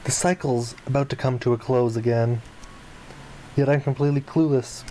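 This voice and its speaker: A let's player reads a visual novel out loud in a soft, mellow tone. The delivery is expressive, with casual side remarks dropped in. There is some faint noise and game ambience behind the voice. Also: medium pitch, normal speed. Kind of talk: expressive read-aloud with casual asides.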